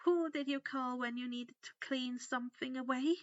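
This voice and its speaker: A woman's voice: high voice, steady tone, moderate pace, dull audio. A woman narrating fan fiction as an amateur audiobook reader. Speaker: story narrator.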